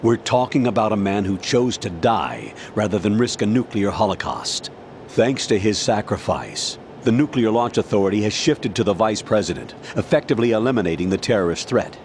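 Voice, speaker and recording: An older game colonel with a low, commanding voice. His delivery is formal and measured, with faint noise behind him. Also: very animated, a little mellow. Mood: formal, grave. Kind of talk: dramatic video game voice acting.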